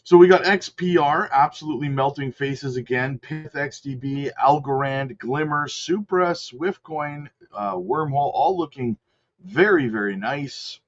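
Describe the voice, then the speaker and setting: A man with a cold, host of a channel, casually talking through market charts and hedging his calls, clearing his throat now and then. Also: mid-range voice, unhurried. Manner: rattles off lists, chatty and hedging. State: sick with a cold. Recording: slightly dull lo-fi audio.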